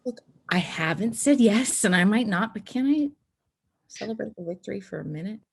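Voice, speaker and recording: Woman acting earnest teenage girl, husky, crackly low register, drama read-through, dry sound. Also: animated, normal speed, dry recording. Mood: pleading. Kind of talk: screenplay table read.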